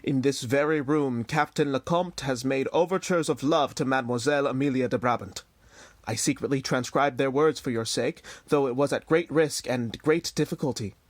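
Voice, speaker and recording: A man's voice: medium pitch, moderate pace, dry recording, lightly acted. A man playing a dutiful, discreet household servant in a period audio drama, formal, deferential and earnest. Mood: grave reporting. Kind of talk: period stage-play audio drama acting.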